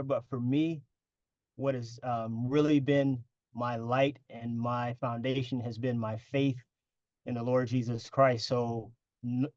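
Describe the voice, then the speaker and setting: A middle-aged man from the United States, a podcast guest who speaks in an earnest, measured way with fillers and repeated words. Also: medium pitch, a little mellow, dry recording, highs cut off. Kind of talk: casual interview.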